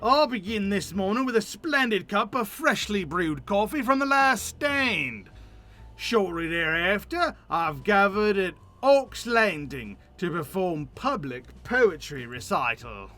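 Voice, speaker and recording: A man performs a flamboyant video game poetry lover, cheerful and florid. The voice is high and bright, sweeping widely in pitch with theatrical flair. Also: very animated, takes it slowly, exaggerated voice. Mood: cheerfully grandiose. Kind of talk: game dialogue read aloud.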